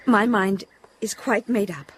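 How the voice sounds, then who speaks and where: An actress playing an anguished but resolute young wife in a gothic audio drama, earnest and emotional. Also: female voice, mid-range voice, unhurried, a little hiss or hum, natural voice.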